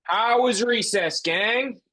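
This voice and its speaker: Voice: medium pitch, slow, pushed and bright. An actor playing a cheerful, easygoing male schoolteacher at a table read, coaxing and upbeat as if to a class.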